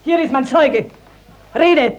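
An actress playing an indignant, sharp-tongued village mother, speaking with scornful emphasis in a classical radio play. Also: sits high, slow, highs cut off. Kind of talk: 1950s German classical radio play acting.

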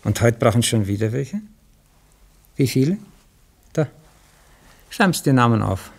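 An actor playing a jaded, bossy senior official in a radio drama, curt and condescending, with a Viennese accent. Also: male voice, mid-range voice, expressive, normal speed, creaky, a little mellow. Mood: curt, grumbling. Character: grumbling, jaded senior male bureaucrat, bossy and cautious.

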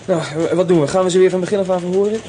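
A man playing a quick, eager police assistant. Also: high voice, steady tone, mellow, slight background noise, pitched above the performer's own voice, close to an everyday voice. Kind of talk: radio play acting.

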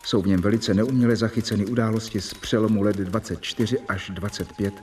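An actor playing an anxious, earnest young surgeon confiding formally in a radio drama letter. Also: male voice, fairly low pitch, fairly even intonation, a little mellow, far from the mic, slight background noise, lightly acted. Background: faint music.

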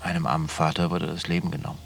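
An actor playing a deliberate, conflicted small-town lawman in radio play dialogue. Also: rumbling low, flat delivery, vocal fry, a little hiss or hum. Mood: brooding, torn.

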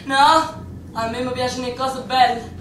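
A woman's voice: high-pitched, steady tone, measured pace, a bit bright. An actress playing a bold, defiant young Neapolitan woman in a radio drama, teasing and headstrong. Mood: wistful. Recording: echoey, distant, faint noise.

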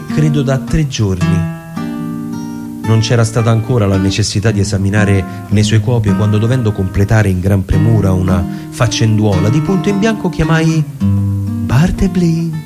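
A man with a low voice gives a dramatic literary reading for radio. He shapes the story in short, deliberate, theatrical phrases. Guitar music is clearly heard behind him. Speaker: narrator and performer. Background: music with plucked guitar.

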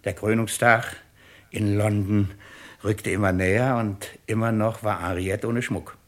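An actor playing a shrewd, pompous, mocking presiding judge in a 1950s radio play. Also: male voice, on the low side. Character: shrewd, sardonic male presiding judge of a French tribunal.

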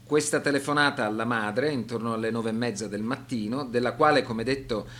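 A man giving scripted documentary narration for a radio drama in a serious, measured manner. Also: medium pitch, a bit bright.

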